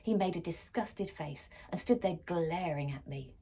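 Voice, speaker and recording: A woman's voice: fairly low pitch, measured pace, warm tone, breathy edge. A woman reading a children's story aloud expressively, as for a school library.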